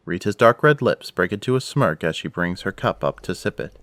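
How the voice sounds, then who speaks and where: A man's voice: sits low, lively intonation, a little fast, fairly bright tone, no echo. A man giving scripted, dramatic narration for an action audio drama. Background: prominent music.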